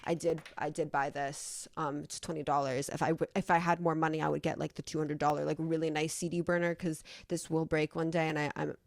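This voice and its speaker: A woman's voice: low-pitched, level tone, brisk, vocal fry, few pauses, dry recording, studio quality. A young American woman rambling casually as a vlogger, effusive and sweary in how she talks. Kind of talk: casual rambling chat to camera.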